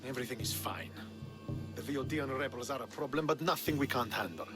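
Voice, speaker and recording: An actor playing a bitter, proud young army commander in a video game, stern, scornful and curt, with dramatic delivery. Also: male voice, mid-range voice, a little fast, lightly acted. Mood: grim, businesslike. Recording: music behind the voice.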